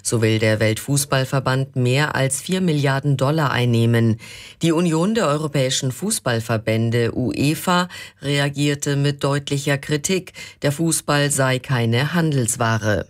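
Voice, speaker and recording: A man with a standard German accent reading scripted news as a radio presenter. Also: medium pitch.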